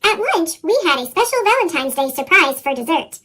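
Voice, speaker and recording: A woman voicing an excitable young animal schoolchild from a picture book, bubbly and exclaiming, through a squeaky pitched-up chipmunk effect. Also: brisk, exaggerated voice. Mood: excited.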